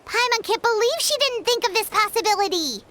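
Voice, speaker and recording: A woman voicing a tiny, excitable floating girl companion who speaks bluntly and refers to herself in the third person. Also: extremely high pitch, brisk, very noisy. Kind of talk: video game voice acting.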